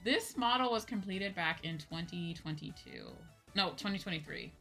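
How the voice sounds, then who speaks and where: A woman chats casually on a livestream in a clearly bright, forward voice that sits a little low. She is animated and informal, talking straight to her viewers. Faint music plays behind her. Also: normal speed. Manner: animated, informal, chatty, often seeks agreement with "right?". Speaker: VTuber streamer chatting with viewers. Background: background music.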